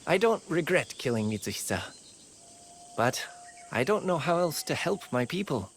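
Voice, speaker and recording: A man's voice: fairly high pitch, very animated, moderate pace, slight background noise. An actor playing an earnest young man who protects his villagers, in a dramatic video game.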